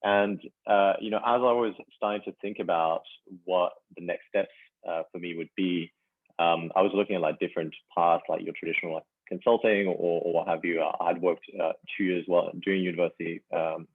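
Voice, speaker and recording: A young man from France, a startup founder, answering interview questions casually and haltingly, with many fillers. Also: low voice, steady tone, no room sound. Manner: halting, full of "you know" fillers. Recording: dry, tinny phone-like call line.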